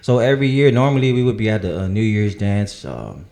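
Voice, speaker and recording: A man's voice: fairly low pitch, lively intonation, brisk, vocal fry, darkish tone. A man who grew up in Samoa and New Zealand, guest on a casual podcast chat, laid-back and laughing easily, full of "you know what I mean". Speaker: guest singer-songwriter.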